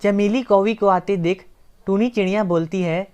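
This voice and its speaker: A woman's voice: mid-range voice, moderate pace, darkish tone, clear, resonant tone. A woman narrator reading a scripted children's story.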